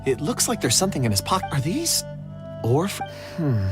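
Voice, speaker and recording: A woman playing an earnest, polite young woman in a video game, with a gently wistful way of talking. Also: sits low, very animated, normal speed. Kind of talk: anime video game voice acting. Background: game music.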